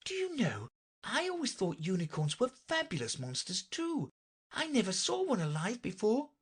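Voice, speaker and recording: A man plays a curious young girl in a storybook. The voice sits far up in pitch, earnest and well-mannered, with a childlike lilt. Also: wildly swooping pitch, normal speed, breathy edge, exaggerated voice. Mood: delighted. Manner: polite, earnest. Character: polite, curious young girl, easily puzzled. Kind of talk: storybook character reading.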